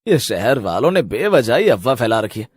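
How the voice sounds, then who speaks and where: A man's voice: medium pitch, big pitch swings, moderate pace, slightly rough, no room sound, clean studio sound, voice pushed down. Man playing a cocky, scoffing cartoon skeptic. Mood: boastful. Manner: scoffing, boastful. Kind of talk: Hindi cartoon story acting.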